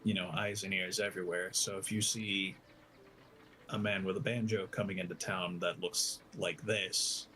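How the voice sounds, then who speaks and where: A man telling an improvised story as a player in a tabletop roleplay game, halting and correcting himself as he goes. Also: deep voice, level tone, pushed and bright, a little hiss or hum.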